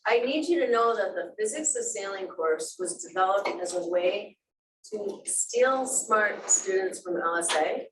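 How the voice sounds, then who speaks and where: A woman's voice: mid-range voice, animated, unhurried, a little hiss or hum. A woman, a professor, asking a student questions in an amused, chatty way. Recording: echoey room, from far off.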